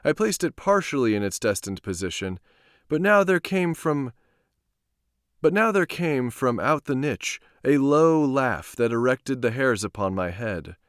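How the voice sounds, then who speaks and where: A man's voice: medium pitch, animated, measured pace, dry recording, studio quality. A man reading stories aloud on a live stream, with an informal manner. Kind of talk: live storytelling and casual stream chat.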